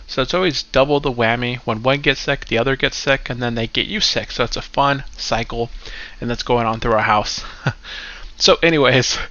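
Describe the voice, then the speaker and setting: A man's voice: mid-range voice, normal speed, bright, forceful tone, dull audio. A man hosting a casual investing vlog, a little stuffy and congested as he walks through his portfolio in an upbeat way. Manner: upbeat, keeps saying "go ahead". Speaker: investing channel host. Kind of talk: casual finance vlog walkthrough.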